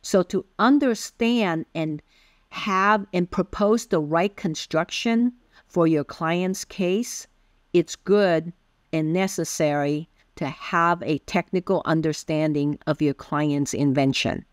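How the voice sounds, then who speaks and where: A middle-aged woman from Taiwan, whose first language is Taiwanese Hokkien, speaking as a patent attorney guest and explaining carefully with frequent pauses and "um"s. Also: lowish voice, no room sound, studio quality. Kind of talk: interview explanation.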